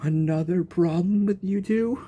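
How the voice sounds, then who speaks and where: A man giving casual, informal reaction commentary as he streams a game, very expressive and reactive. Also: sits high, a little slow, slight background noise. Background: faint game music.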